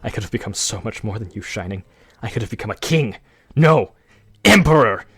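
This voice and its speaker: A man's voice: lowish voice, lively intonation, fairly quick, slightly rough, no room sound. A man playing a bitter, power-hungry pony guard turned traitor, sneering theatrically in a cartoon audio drama. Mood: scheming, ambitious. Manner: sneering, theatrical villain monologue. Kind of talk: scripted audio drama acting.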